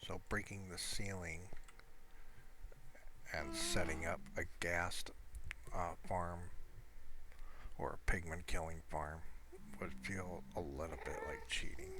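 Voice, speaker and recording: A man's voice: low voice, fairly even intonation, slow pace, pauses often. A man giving casual, rambling gameplay commentary, thinking aloud in an informal, hesitant way. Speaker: gamer recording gameplay commentary. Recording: loud noise with clicks behind voice.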